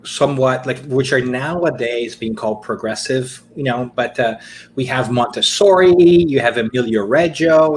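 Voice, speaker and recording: A middle-aged man raised in Brazil and speaking English as a talks through his story as a podcast guest. He is reflective and unhurried, pausing on fillers and restarting sentences, at an ordinary mid pitch. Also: slight background noise. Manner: thoughtful, with many fillers and restarts. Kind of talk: casual interview conversation.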